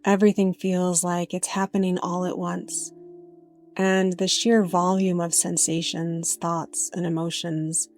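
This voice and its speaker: A woman narrating a guided meditation in a gentle, unhurried way with frequent pauses. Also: on the low side, little pitch movement, soft background music.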